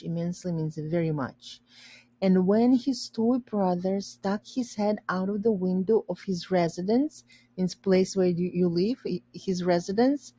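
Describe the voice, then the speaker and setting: Slow-paced woman teacher reading aloud. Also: fairly low pitch, mellow, slightly rough, no room sound. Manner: explanatory, repeats and rephrases for learners. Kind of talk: storybook read-aloud with simple vocabulary explanations.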